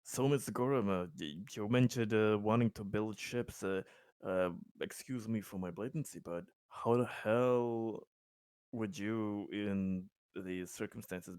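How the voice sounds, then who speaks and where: A man with a fairly low voice takes part in a tabletop roleplaying game. He asks questions in character, deliberate and formal but blunt. The recording is dry and close. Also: a little mellow, clear, resonant tone, no echo.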